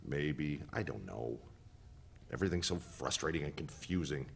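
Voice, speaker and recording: A man in a dramatic play reading, playing a hurt, defensive partner who speaks in a guarded, deliberate way. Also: very deep voice, vocal fry, a little hiss or hum. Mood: weary, resigned.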